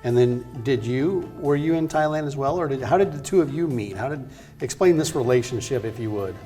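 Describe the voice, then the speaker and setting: An upbeat man hosts a cooking show, first narrating from a script and then chatting loosely with his guests. His voice sits at an ordinary middle pitch, and he often restarts his questions mid-sentence. Light music plays softly underneath. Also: brisk.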